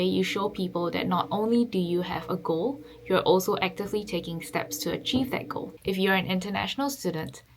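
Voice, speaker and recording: A young woman from Singapore, a PhD student, giving earnest, measured advice to camera. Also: fairly low pitch. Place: office.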